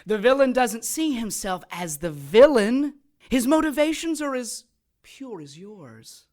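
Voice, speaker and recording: An actress playing a sadistic, cynical immortal villainess in an audio drama, taunting in a deliberate, theatrical way. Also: female voice, a little high, sing-song, darkish tone. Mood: smug, philosophical. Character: gleeful immortal female villain who controls corpses, sadistic and cynical.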